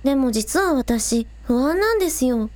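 Woman voicing an earnest high-pitched teenage idol girl. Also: animated, moderate pace, darkish tone, no echo. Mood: anxious. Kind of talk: Japanese audio drama acting.

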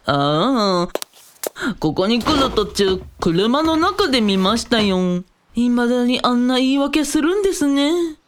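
A woman playing a flippant, wealthy male cult leader in a comic radio drama, with theatrical, over-polite speech and playful drawn-out exclamations. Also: a little high, sing-song, a little hiss or hum. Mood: puzzled, relieved.

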